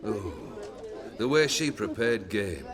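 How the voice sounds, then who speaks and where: Over a busy crowd, a jovial, domineering noble lord in a fantasy game. His voice swings widely in pitch, with flamboyant, old-fashioned turns of phrase. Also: expressive, slow. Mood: wry, storytelling. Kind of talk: theatrical video game acting. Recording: busy crowd noise behind.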